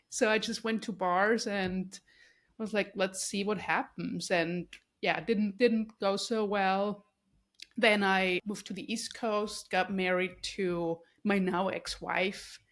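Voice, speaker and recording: Austrian-born woman hosting a podcast. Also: medium pitch. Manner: warm, effusive, many restarts and fillers. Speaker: podcast host. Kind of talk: casual interview conversation.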